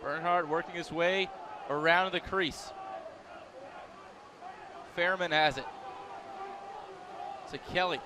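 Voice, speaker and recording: A young American man calling a live lacrosse game as play-by-play announcer, with an energetic announcer's delivery. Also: fairly high pitch, slow, long pauses. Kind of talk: live lacrosse sports commentary. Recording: live game, loud crowd noise behind. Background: crowd and outdoor stadium ambience.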